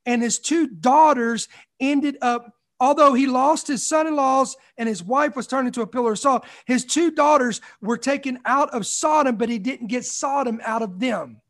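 A man's voice: very high-pitched, moderate pace, fairly bright tone. A man in his forties preaching a sermon, emphatic, with repeated phrases and call-outs to the congregation. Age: 40s. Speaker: pastor.

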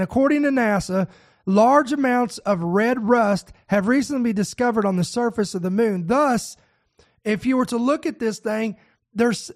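A man's voice: very high-pitched, a little mellow. A man with a head cold and a nasal, congested voice, hosting a prophecy news podcast and reading articles aloud with earnest, preacher-like emphasis. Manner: earnest, preacher-like emphasis, stretches key words slowly. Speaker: podcast host.

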